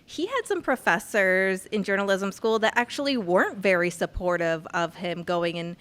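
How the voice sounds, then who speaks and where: A woman's voice: mid-range voice, moderate pace, fairly bright tone. A woman hosting a podcast, asking attentive, encouraging interview questions. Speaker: podcast host.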